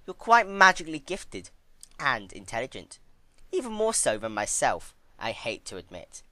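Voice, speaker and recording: A man's voice: medium pitch, wildly swooping pitch, moderate pace, bright, forceful tone, no echo, voice pushed up. A man voicing a cruel female pony villain who taunts with mock sweetness in a fan-fiction story. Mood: gloating.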